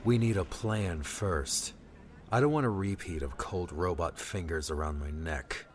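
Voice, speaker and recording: A man's voice: sits low, unhurried, a little hiss or hum. A man voicing a wry, cocky young male adventurer with a casual, teasing manner in audiobook dialogue.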